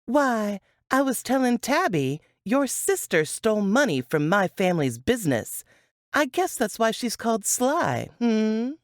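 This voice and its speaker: A spiteful young woman from an audiobook, acted out by a woman narrator, raised in pitch and smirking as she taunts and accuses. Her delivery is indignant and swings up and down, recorded close and dry. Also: sing-song, a little slow, no echo. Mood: smug taunting. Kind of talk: audiobook character acting.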